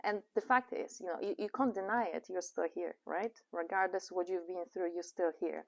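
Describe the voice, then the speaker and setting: A woman's voice: lowish voice, fairly even intonation, normal speed, gravelly. A woman with a Polish accent co-hosting a casual podcast, talking in an encouraging, reflective way and laughing easily. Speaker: podcast co-host.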